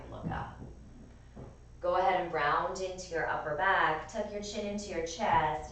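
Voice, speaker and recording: Woman, raspy and strained, calm guided instruction, echoey room, mic at a distance. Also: fairly low pitch, a little hiss or hum. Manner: calm, gentle cueing of breath and movement. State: strained voice from overuse. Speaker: yoga and pilates instructor.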